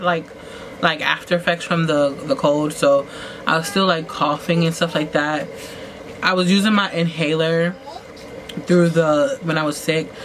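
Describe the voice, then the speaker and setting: A woman talking casually as she gets ready, rambling with lots of "like"s and restarts and jumping up in pitch for emphasis. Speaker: vlogger. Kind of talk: casual storytelling while getting ready. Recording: noisy home recording.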